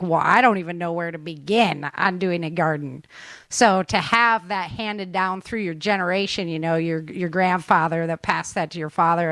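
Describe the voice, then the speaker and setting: A woman's voice: lowish voice, a bit bright, dry recording. A woman hosting a casual interview, chatting in an encouraging, affirming way with her guest. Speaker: podcast host interviewing a guest.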